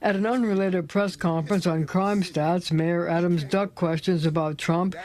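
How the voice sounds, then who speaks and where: A man narrating a news report in a measured, clipped newsreader delivery. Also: sits high, steady tone, a little mellow.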